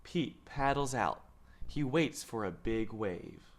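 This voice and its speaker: Slow, lively young man reading aloud. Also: medium pitch. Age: young adult. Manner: measured, expressive storytelling with lifted quoted lines. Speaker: read-aloud host. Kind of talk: children's picture book read-aloud. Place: indoor studio.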